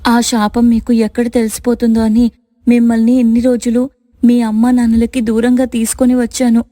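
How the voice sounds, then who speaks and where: Actress as reserved young wife in a storybook family tale: earnest, fairly high, mellow, dry sound. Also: female voice, monotone, moderate pace, dry recording, lightly acted. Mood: confessing.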